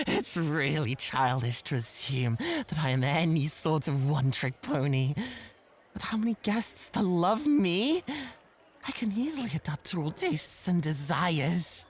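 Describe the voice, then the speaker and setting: A man's voice: fairly high pitch, big pitch swings, unhurried, breathy edge, heavily acted. A man playing a competitive, flirtatious club host in an ASMR roleplay, with a coy, playful and coaxing way of talking. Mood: coy, boastful.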